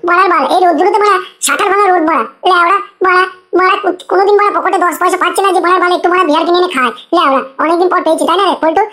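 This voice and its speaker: A woman plays a squeaky, extremely high-voiced young village drunkard from a crude comedy cartoon. He rants at breakneck speed, grumbling and swearing without pause. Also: bright, forceful tone. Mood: impatient grumbling. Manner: ranting, swearing constantly. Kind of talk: crude comic cartoon dialogue.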